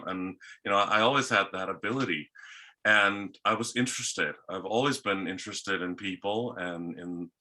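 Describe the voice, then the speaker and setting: A man's voice: fairly low pitch, bright, forceful tone. A man with a Danish accent, a guest telling his life story in a rambling, casual interview. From Denmark. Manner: rambling, with many restarts.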